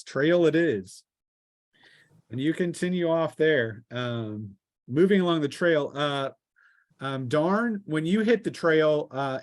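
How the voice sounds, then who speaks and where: A man casually narrating a tabletop game as game master, often halting to think aloud. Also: a little high, a little mellow.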